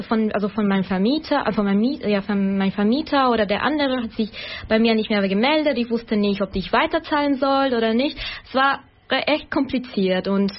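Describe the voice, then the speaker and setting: A young woman from Ecuador, a radio colleague, talking casually and hesitantly in German with many fillers and restarts. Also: a little high. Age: young adult. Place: radio studio. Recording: dull lo-fi radio sound.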